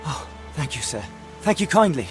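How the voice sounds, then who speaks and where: A meek village elder from a video game, deferential and eager to please. His voice sits fairly high and a little distant. Game music plays clearly behind. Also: very animated, moderate pace. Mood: fawning. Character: meek male village elder, fawning peasant.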